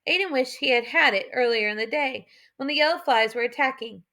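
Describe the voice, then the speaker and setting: A woman audiobook narrator with a high, bright voice reads an adventure story. There is noticeable fry in her voice as she tells the scripted tale. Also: brisk.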